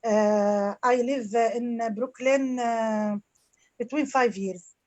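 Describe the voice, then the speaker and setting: Woman from Palestine, slow, lots of "uh", dull lo-fi call audio. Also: on the high side, fairly even intonation, darkish tone, dry recording. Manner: halting, many "uh" fillers. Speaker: guest student.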